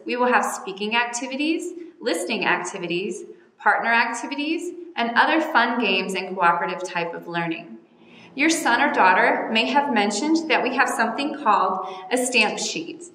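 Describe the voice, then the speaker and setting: A woman teacher from North Carolina lays out her class for parents in a measured, orderly way. Her voice is bright and forward. The recording carries a long, ringing reverb, as if made in a large empty room. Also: medium pitch. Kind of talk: recorded welcome message to parents.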